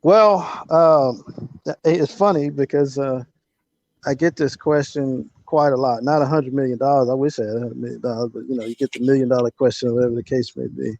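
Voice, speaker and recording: A middle-aged man talking casually and reflectively as an interview guest, with halting phrasing, on lo-fi call audio. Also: mid-range voice, fairly even intonation, darkish tone, no room sound.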